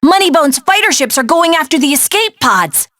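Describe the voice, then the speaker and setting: A bold young adventurer heroine for a cartoonish video game. Her voice is very high and bright, recorded dry and close. She is bossy and commanding, handing out crisp, urgent directions. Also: expressive, moderate pace, no room sound. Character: brave, bossy young female adventurer guiding heroes. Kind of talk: animated game voice acting.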